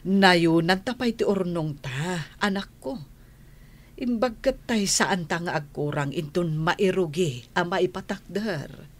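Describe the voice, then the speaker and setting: Gentle, slow-spoken, low-voiced mother. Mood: reassuring. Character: gentle, supportive mother of a grown daughter. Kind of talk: radio drama acting.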